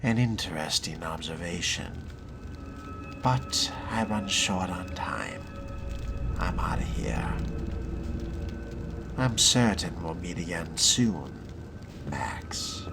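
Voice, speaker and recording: Man role-playing a slow, low, wry vampire fledgling. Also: lively intonation, exaggerated voice. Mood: politely curious. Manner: articulate, musing, sardonic. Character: wry, inquisitive young male vampire fledgling with refined diction. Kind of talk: role-played game narration and dialogue. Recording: loud background music.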